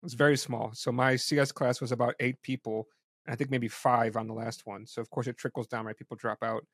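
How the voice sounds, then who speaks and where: A man's voice: mid-range voice, steady tone, brisk, no room sound. Curious male host, dry close sound. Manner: casual and curious, restarts often. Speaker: interview host. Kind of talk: interview conversation.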